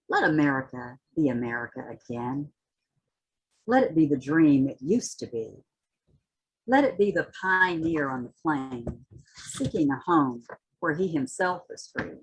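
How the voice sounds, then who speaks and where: A woman reads literature aloud in a husky voice that moves widely in pitch. Her pace is slow and deliberate, with stretched, emphatic words. The delivery is impassioned and dramatic, suited to poetry recitation. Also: rough recording. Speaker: reader at a community literary read-in.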